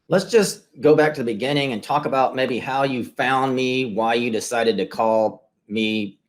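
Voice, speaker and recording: A man's voice: mid-range voice, normal speed. A man interviewing a client and conversationally explaining results on a shared screen, step by step. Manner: explanatory, walks listener through results step by step. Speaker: interviewer and marketing consultant.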